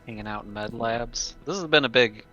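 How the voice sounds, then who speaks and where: A man's voice: mid-range voice, brisk, bright, forceful tone, dull audio, soft background music. A man taking part in a tabletop game as a player, chatting casually and tentatively as he thinks out his choices aloud.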